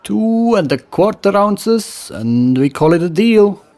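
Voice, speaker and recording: A man voicing a sly, smooth-talking weapons trader with a put-on West Frankish accent. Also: high voice, big pitch swings, takes it slowly, warm tone, pitched above the performer's own voice, full character voice. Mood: coaxing. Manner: coaxing, salesman-like.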